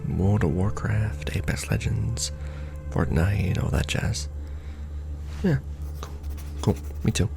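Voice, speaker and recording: A man playing a casually menacing secret-society recruiter in an ASMR roleplay, with a breathy, mostly whispered, gravelly voice and sardonic, conspiratorial patter, close on the microphone. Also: on the low side, slow pace, long pauses, over music. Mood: chummy, sardonic. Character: casually menacing Illuminati recruiter onboarding a new initiate.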